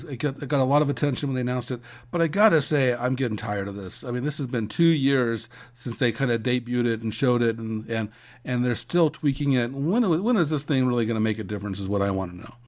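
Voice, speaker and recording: Halting man discussing industry news. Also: mid-range voice. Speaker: co-host of an industry news podcast. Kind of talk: conversational news commentary.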